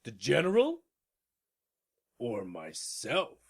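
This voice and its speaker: A man playing a proud old king in a storybook dramatization, with a gravelly, raspy voice and a pompous, imperious, theatrical delivery. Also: medium pitch, wildly swooping pitch, slow pace, heavily acted. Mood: imperious, indignant.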